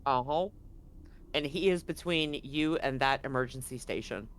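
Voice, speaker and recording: A man's voice: medium pitch, normal speed, a bit bright, a little hiss or hum. A man speaking casually and with some swearing as game master of a tabletop role-playing game. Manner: casual, profane.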